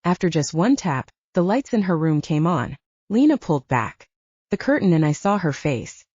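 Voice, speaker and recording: A woman's voice: lowish voice, big pitch swings, normal speed, a little mellow, breathy edge, no room sound, muffled recording. A woman reading scripted audiobook narration with dramatic storytelling delivery.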